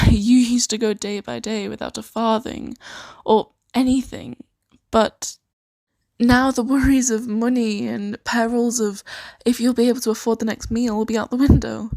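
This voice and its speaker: A woman playing a friendly, flustered sanctuary worker in an improvised fantasy ASMR roleplay, talking in a hesitant, halting, awkward way. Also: a little high, flat delivery, vocal fry, a little mellow, dry recording. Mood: hesitant, reassuring. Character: friendly, flustered young female sanctuary worker named Claire.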